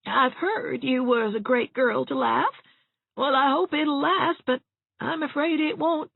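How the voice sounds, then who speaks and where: A woman's voice: sits high, measured pace, creaky, no room sound, voice pushed up. A woman voicing a gloomy, fretful elderly spinster who frets and forever fears the worst. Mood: mournful. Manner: mournful, fussy, forever fearing the worst. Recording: tinny phone-like sound.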